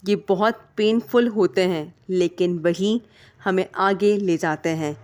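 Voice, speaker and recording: A woman narrates a motivational vlog in a slightly low voice. It sounds heavy and hoarse, as with a sore throat. She talks earnestly and directly to her listeners. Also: slow, a little mellow. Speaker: vlog host.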